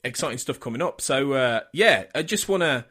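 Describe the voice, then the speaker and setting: A man's voice: medium pitch, lively intonation, a little fast, sharp tone, breathy edge. A man hosting a casual interview in an effusive, hesitant way, often repeating his phrases. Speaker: podcast host.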